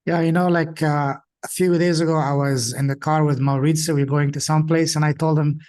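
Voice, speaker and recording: A man's voice: on the high side, little pitch movement, a little fast. Upbeat motivational podcast host, a man. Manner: warm, encouraging, motivational. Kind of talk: interview.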